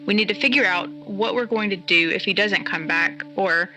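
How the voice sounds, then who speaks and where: A woman's voice: mid-range voice, moderate pace, bright, forceful tone, soft background music, lightly acted, rough recording. A woman playing a pragmatic, skeptical character in an audio drama, blunt and sardonic in a naturalistic way.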